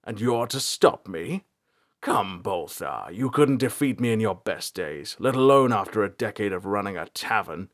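A man's voice: on the low side, animated, normal speed, natural voice. A man voicing an overbearing, menacing king and father, with an imperious, accusatory delivery in a fantasy audiobook. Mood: taunting. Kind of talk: dramatic fantasy audiobook character.

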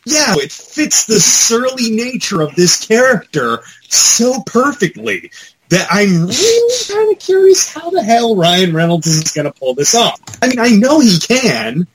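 A man co-hosting a podcast in casual chat about pop culture, talking in an animated, rambling, mildly profane fan style. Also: sits high, unhurried, slight background noise, low-quality recording. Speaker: podcast co-host.

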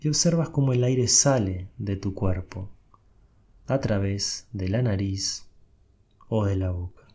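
Meditation guide: a man, very slow and soft-spoken, with a breathy voice. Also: on the low side. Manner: soft, unhurried instructions with long pauses.

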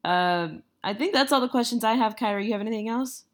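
A woman's voice: on the high side, quick pace. A young woman co-hosting a casual podcast, teasing her co-host and laughing often.

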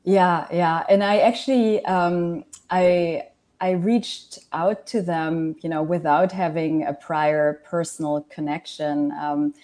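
Woman, forties, grew up in Germany; documentary filmmaker as guest, low and mellow, earnest with lots of restarts. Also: steady tone, takes it slowly. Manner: earnest, many fillers and restarts. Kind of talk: interview answers.

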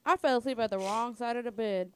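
A woman's voice: on the high side, fast, fairly bright tone, a little hiss or hum. A woman co-hosting a podcast, talking bluntly in casual, crude slang. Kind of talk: casual chat.

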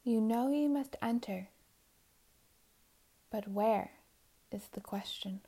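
A woman leading a guided meditation in a soothing, unhurried manner, with long pauses and soft, breathy phrase endings. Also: on the high side.

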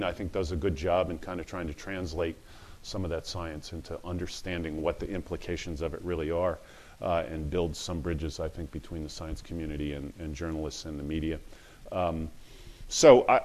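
A man speaking on a panel in unscripted remarks, halting, with many fillers and restarts. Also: deep voice, a little mellow.

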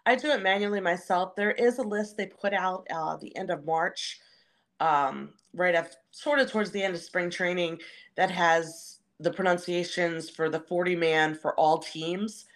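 A woman's voice: lowish voice, normal speed. A woman who works as a stadium announcer, answering interview questions in a conversational, explanatory way.

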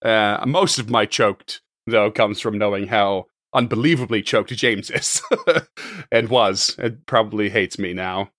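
A hoarse man acting as game master on a tabletop podcast, rambling and laughing a lot between restarts. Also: fairly low pitch, steady tone, a little slow, fairly bright tone, no room sound, clean studio sound.

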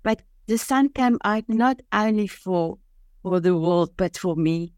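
A woman's voice: mid-range voice, measured pace, darkish tone, clean tone, no room sound. Older south african lady, afrikaans is her first language, heartfelt and earnest, keeps restarting her sentences, dry close sound. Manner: earnest and heartfelt, with frequent restarts and simple phrasing. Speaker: podcast guest. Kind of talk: emotional personal storytelling.

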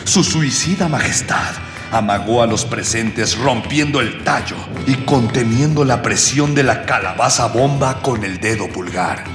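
An echoing, reverberant voice of a mock-heroic storyteller, played by a man, narrates a radio serial in florid, solemn phrases. His mid-pitched delivery rides over music. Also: measured pace, lightly acted. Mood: grandiose. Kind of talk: scripted radio drama narration. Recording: echoey reverberant sound with music underneath.

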